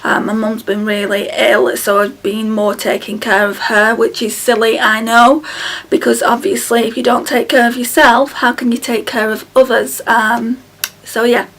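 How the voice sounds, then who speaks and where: A woman in her thirties chatting to camera at home, her voice hoarse and croaky from illness, tired and halting with many ums. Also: on the high side, level tone, fairly bright tone, rough recording. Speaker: beauty vlogger. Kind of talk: casual chat to camera. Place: small room at home, indoors. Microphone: camera close in front.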